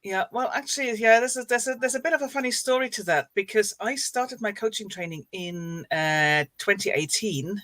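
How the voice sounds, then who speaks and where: A woman from Germany, a life coach, talks as a guest on a casual live chat. She is cheerful and laughs easily, with a few hesitant fillers. Her voice has a middle pitch, and the sound is dry and close. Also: moderate pace, hardly any pauses, fairly bright tone, dry recording.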